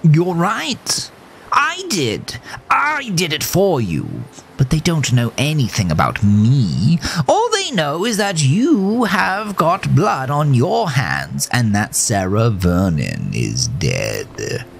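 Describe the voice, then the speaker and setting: A man voicing a mocking, gleefully menacing gentleman horse in a horror audio story, with theatrical, polite taunting. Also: medium pitch, wildly swooping pitch, slow, creaky, slight background noise, voice pushed up, heavily acted. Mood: gloating.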